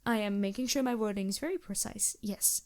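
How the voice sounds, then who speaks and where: A woman voicing a polite, anxious and gentle vampire with formal, earnest phrasing in a fantasy audio roleplay. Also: mid-range voice, a little fast, natural voice. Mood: polite, reassuring. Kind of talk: fantasy ASMR roleplay acting.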